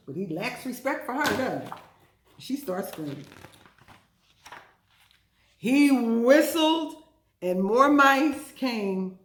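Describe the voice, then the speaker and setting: A woman hosts storytime for little children in a very animated voice that swings up and down. She is playful and chatty and keeps asking her young listeners questions. The room around her is noticeably echoey. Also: mid-range voice.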